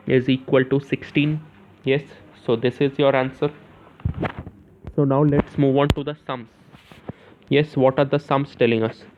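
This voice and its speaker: A young man with an Indian accent gives an informal step-by-step maths lesson, asking questions and answering them himself, often repeating phrases and checking in with short confirmations. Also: mid-range voice. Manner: repeats phrases, punctuates with "yes" and "okay". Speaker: maths tutor. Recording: narrow, tinny phone-like sound.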